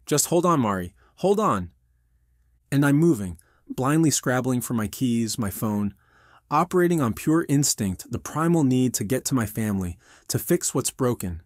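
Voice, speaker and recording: A man's voice: mid-range voice, big pitch swings, normal speed, no echo, studio quality, voice pushed up, lightly acted. A man voicing an anguished army veteran who runs a restaurant, narrating his own story in an intense, earnest audio drama style. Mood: urgent, desperate. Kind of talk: dramatic first-person scripted narration.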